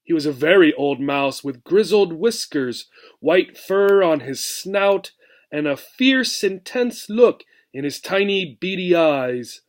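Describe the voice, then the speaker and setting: A man reading a children's book aloud expressively, as a teacher would, pausing to explain. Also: fairly high pitch, expressive. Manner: explanatory, checks in with "right".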